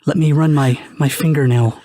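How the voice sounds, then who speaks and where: A podcast comedian voicing a thunder god as a soft, slow, intimate ASMR host. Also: medium pitch, steady tone, dry recording, lightly acted. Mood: hushed, teasing.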